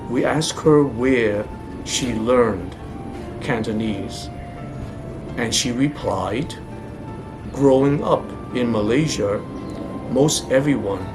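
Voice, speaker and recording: A man reading scripted travel narration in a deliberate, explanatory way. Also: medium pitch. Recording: voice over clear background music. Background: music.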